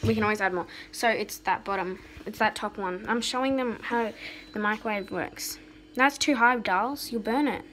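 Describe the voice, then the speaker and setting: A woman's voice: medium pitch, normal speed, a bit bright, slight background noise, rough recording. A teenage girl filming on a phone in a home kitchen, teasing and chatting playfully. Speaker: camera operator. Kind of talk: casual chat. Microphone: filming on a phone close by.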